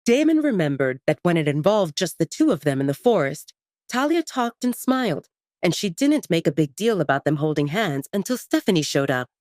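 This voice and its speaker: A woman's voice: fairly low pitch, very animated, brisk, darkish tone, no room sound, clean studio sound. A woman narrating a scripted romance story in a dramatic audiobook style.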